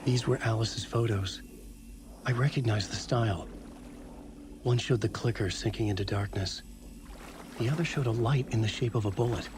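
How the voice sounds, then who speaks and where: A man's voice: mid-range voice, moderate pace, long pauses, background noise, close to an everyday voice. An actor playing a troubled man piecing together a mystery, speaking in a terse, serious way in a video game. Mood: serious, reflective. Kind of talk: game cutscene and narration.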